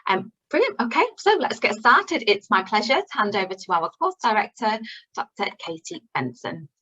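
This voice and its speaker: A woman's voice: on the high side, sing-song, moderate pace, pushed and bright, breathy edge, dull audio. A woman hosting an online event, welcoming attendees in a friendly way with frequent fillers. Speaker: webinar host. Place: online webinar.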